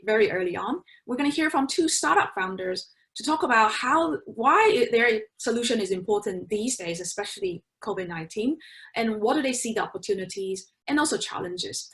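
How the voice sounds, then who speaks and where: A woman's voice: on the high side, a bit bright, rough recording. A woman presenting and moderating an online panel, talking loosely with lots of "you know"s and restarts. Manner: loose, filler-heavy, with many "you know"s.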